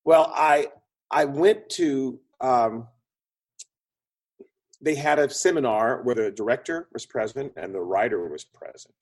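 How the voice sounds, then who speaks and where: A man's voice: mid-range voice, measured pace, a little mellow. A man speaking conversationally as an interview guest, explaining ideas like a storyteller and acting out quotes. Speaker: guest, film producer and script consultant.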